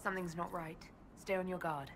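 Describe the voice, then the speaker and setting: A woman's voice: on the low side, fairly even intonation, fairly quick, a little hiss or hum, close to an everyday voice. An actress playing a composed, inquisitive woman mage and leader in a video game, speaking directly. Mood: wary. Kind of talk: video game cutscene acting.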